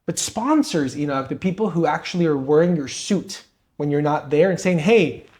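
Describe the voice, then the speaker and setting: A man's voice: a little high, animated, normal speed, darkish tone. A young man from Peru, co-host of a podcast, telling his story and giving advice in an earnest, motivational way. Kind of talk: conversational interview.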